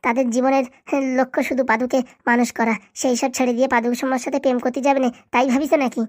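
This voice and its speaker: A woman's voice: high voice, flat delivery, fast talker, a little mellow, lightly acted. A woman voicing a young school pupil in a comic cartoon, chattering. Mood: bantering.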